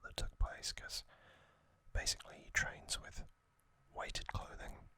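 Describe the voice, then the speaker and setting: A man hosting an ASMR video, whispering a casual, opinionated fan recap with frequent pauses and ums. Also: pushed and bright, dry recording. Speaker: ASMR host.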